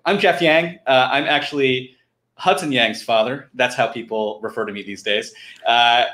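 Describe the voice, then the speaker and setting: A middle-aged American man speaks at a medium pitch as co-host of an online event. He talks informally and haltingly, pausing mid-sentence. The headset recording has an audible roomy echo. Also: fairly even intonation, fairly bright tone. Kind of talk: informal welcome speech. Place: indoor room at home, on a video call. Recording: roomy, echoing home recording.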